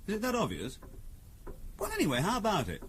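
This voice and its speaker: An actor playing a keen, persuasive middle-class English husband who loves antiques, in 1970s radio drama style. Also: male voice, high voice, huge pitch swings, fast, a bit bright, exaggerated voice. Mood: eager, cajoling. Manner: eager, wry, persuasive. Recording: noisy old radio drama recording.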